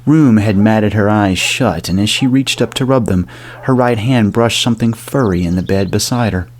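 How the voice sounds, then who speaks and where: A man narrating a scripted gothic horror audio drama in a measured, dramatic delivery. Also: on the low side. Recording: music under the voice.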